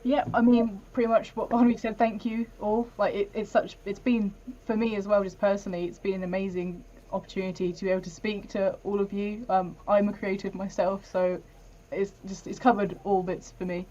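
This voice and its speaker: A woman in her twenties talks casually with a mellow, even-pitched voice. The video call audio is dull and lo-fi. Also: a little fast. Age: 20s. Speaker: interview team member. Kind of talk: casual closing thanks. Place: dim studio room. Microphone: earphones, video call.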